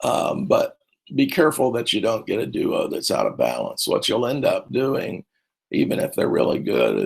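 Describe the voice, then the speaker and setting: A man's voice: mid-range voice, creaky, slightly rough. A middle-aged man, a speech and debate coach, giving a rambling conference talk full of fillers and restarts.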